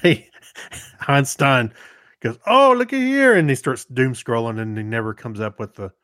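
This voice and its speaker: A male podcast co-host with a mid-pitched voice, talking casually and off the cuff. His delivery is laid-back and a little halting as he rambles, and the sound is very dry. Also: lively intonation, a bit bright, no echo. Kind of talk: casual, unscripted podcast chat.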